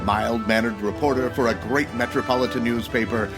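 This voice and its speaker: A man's voice: mid-range voice, fairly even intonation, a little fast, loud music behind the voice, natural voice. A man playing a grandiose, declamatory narrator of a 1940s radio superhero serial. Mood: grandly narrating. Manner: dramatic, declamatory.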